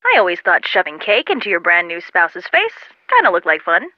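Wry tomboy with lively pitch, phone-filtered. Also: female voice, fairly quick, dry recording. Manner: dry, teasing, casual. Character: wry, tomboyish, unsentimental young woman. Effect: telephone filter. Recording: muffled, lo-fi phone-call sound.

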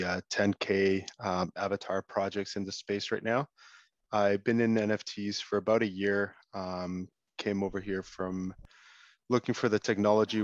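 A man who co-founded a project speaks as a panelist in a casual, measured way, leaning on "um". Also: lowish voice, no echo. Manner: even, measured, leans on "um". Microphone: remote call audio. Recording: dull, lo-fi call audio.

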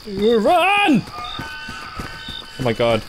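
Jumpy, animated horror game commentator. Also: mid-range voice, extremely slow, background noise. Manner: jumpy, sarcastic, gasps and cries out when startled. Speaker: gaming YouTuber. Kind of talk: horror game playthrough commentary, reading on-screen text aloud.

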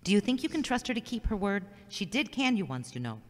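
Woman as hurried frank friend, expressive, mid pitch, hall reverb. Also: lightly acted. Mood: brisk, teasing.

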